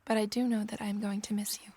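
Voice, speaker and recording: A woman playing a husky, earnest young heroine. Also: mid-range voice, flat delivery, fast, warm tone, close to an everyday voice. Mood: heartfelt. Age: young adult. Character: tender, earnest young Regency woman, quietly brave. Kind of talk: Regency-era audio drama acting.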